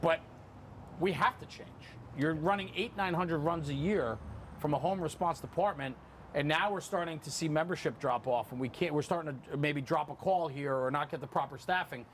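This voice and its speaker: A man's voice: a little high, normal speed, fairly bright tone. Earnest male podcast host over background noise. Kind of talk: conversational round-table interview. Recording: clear background room noise.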